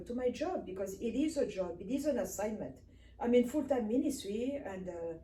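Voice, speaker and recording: A woman's voice: mid-range voice, warm tone. Earnest woman minister, echoey room. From Africa. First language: Swahili. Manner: earnest and emphatic, repeating phrases. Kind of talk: personal testimony sermon. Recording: echoey room, distant mic, faint hiss.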